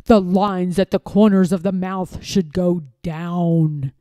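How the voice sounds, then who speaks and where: A woman's voice: on the low side, steady tone, takes it slowly, mellow, dry recording, studio quality, voice pushed down. A woman voicing a scowling, pompous lord from a storybook who despises fun and speaks with disapproval. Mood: stern.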